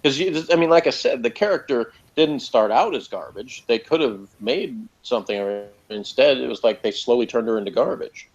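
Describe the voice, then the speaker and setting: A man's voice: mid-range voice, moderate pace, few pauses. A man in a casual review chat as a podcast reviewer, speaking in a sardonic manner. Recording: slightly dull, lo-fi audio.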